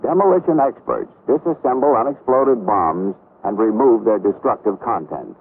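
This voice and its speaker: An American man narrates a vintage military documentary in a low voice with lively rises and falls. His delivery is formal, measured and authoritative. The sound is narrow and tinny, like an aged film soundtrack. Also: a little hiss or hum. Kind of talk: scripted 1960s documentary narration.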